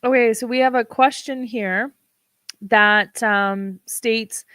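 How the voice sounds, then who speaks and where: Hoarse woman host on a livestream, slow and unhurried, smooth tone, dry close sound. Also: on the high side, steady tone, fairly bright tone, dry recording. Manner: unhurried, with frequent ums. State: hoarse, froggy voice. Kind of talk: casual livestream talk.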